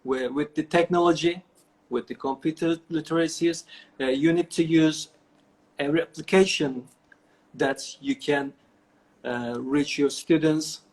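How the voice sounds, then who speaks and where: A man's voice: fairly high pitch, fairly even intonation, a little slow, darkish tone, low-quality recording. A middle-aged man with a Turkish accent, answering interview questions over a video call, with many fillers and restarts. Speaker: guest. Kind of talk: online interview.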